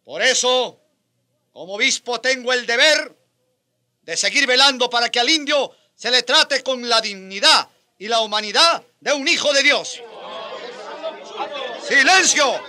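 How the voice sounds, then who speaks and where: An actor playing a righteous colonial bishop in a radio drama, declamatory and commanding. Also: male voice, very high voice, animated, unhurried, pauses often, pushed and bright, a faint crowd, exaggerated voice. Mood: proclaiming, stern. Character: fiery, righteous Spanish colonial bishop, defender of Indians.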